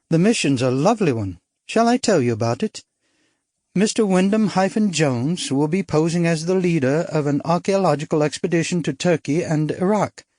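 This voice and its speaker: A man's voice: fairly high pitch, darkish tone, no echo, studio quality, voice pushed up, natural voice. A man voicing an arch, daring young actress. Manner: arch, playful, theatrical. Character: witty, daring young upper-class Englishwoman, an actress.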